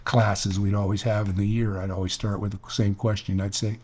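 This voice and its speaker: A man's voice: fairly low pitch, level tone, normal speed, a little mellow. An older man, an author, telling rambling, earnest stories in a reflective talk, often restarting his sentences. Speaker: author and speaker.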